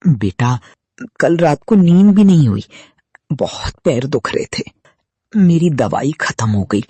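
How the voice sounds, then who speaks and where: A woman voicing a frail, gentle old mother who asks softly and meekly for small comforts. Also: low voice, animated, a little slow, slightly rough, no echo, exaggerated voice. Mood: pleading. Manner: soft, pleading and meek.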